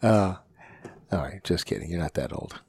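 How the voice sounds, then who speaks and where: Deep-voiced man hosting an interview. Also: lively intonation, moderate pace, gravelly, darkish tone, breathy edge. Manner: encouraging, affirming, often asks "right?". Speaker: podcast host, firefighter. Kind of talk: casual interview chat.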